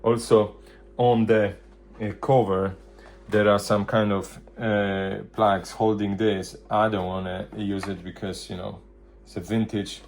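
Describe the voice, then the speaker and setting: A man presenting a casual hands-on product demonstration, thinking aloud in loose, halting phrases. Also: fairly low pitch, flat delivery, darkish tone. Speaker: vintage hi-fi video presenter.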